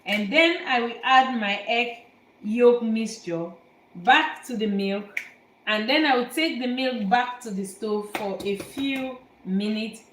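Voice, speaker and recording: Slow-speaking woman chef in echoey kitchen. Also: on the high side, a bit bright. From Nigeria. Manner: slow, repetitive explaining with fillers. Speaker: cooking show host, chef. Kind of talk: live cooking demonstration. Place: home kitchen. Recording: echoey kitchen, faint noise.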